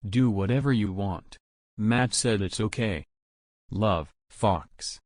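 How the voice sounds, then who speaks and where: Man as a low-voiced, curt studio executive. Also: takes it slowly, dry recording. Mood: matter-of-fact. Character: breezy studio executive reading out dismissive letter. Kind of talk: letter narration in a fan dub.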